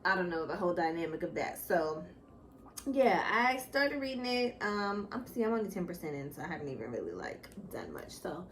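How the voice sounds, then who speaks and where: A woman's voice: on the low side, big pitch swings, brisk. Easygoing woman in a faintly noisy book chat. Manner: colloquial, easygoing. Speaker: co-host of a book channel.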